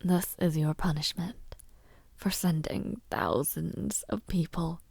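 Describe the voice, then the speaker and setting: Woman acting a slow, breathy villainess princess. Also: lowish voice, steady tone, no room sound, exaggerated voice. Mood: mocking, scolding. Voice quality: breathy, often near a whisper. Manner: slow, teasing, condescending, with soft taunts. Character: haughty young villainess princess, mockingly sweet and menacing. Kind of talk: audio roleplay addressed to the listener.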